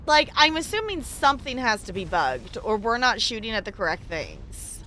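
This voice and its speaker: A woman's voice: a little high, huge pitch swings, moderate pace, sharp tone, slight background noise. A woman chatting casually and animatedly as she streams a video game live and talks to her viewers. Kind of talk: casual live stream chat with gameplay commentary.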